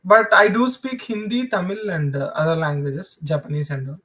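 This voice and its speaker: High, bright-voiced South Indian male instructor. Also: fairly even intonation. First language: Telugu. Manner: repetitive, halting explanations that circle back to restate points. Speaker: programming instructor. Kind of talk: informal live online lesson.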